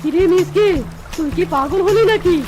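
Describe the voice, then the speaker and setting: Woman voicing a squeaky, chiding cartoon animal pal. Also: moderate pace. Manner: chiding, advising. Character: chiding, practical friend of the fox. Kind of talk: children's cartoon dubbing. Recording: music and splashing water behind.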